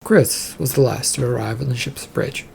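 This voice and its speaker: A narrator with a husky, creaky voice, reading serial fiction aloud. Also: medium pitch, normal speed, a little mellow, a little hiss or hum.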